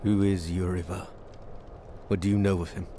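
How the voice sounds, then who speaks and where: An actor playing a blunt, driven wandering hero in a game cinematic, speaking in short, confrontational lines. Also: male voice, sits low, flat delivery, normal speed, slight background noise, natural voice. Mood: stern. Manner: terse, confrontational. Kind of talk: video game cinematic acting. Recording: loud music behind the voice.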